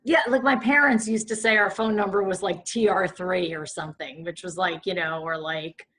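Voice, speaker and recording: A raspy-voiced woman in her fifties, a podcast guest chatting and rambling with lots of fillers. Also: on the low side, steady tone, normal speed, a bit bright. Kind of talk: casual chat.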